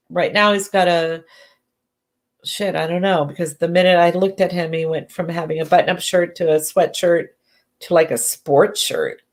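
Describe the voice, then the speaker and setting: A woman doing live psychic readings who sounds congested and talks in halting bursts, often restarting and correcting herself. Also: fairly low pitch. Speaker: psychic medium. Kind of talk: live-stream readings, casual chat.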